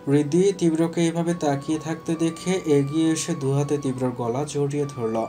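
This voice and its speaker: A man's voice: on the high side, fairly even intonation, moderate pace, over music. A man narrating a scripted romantic audio story.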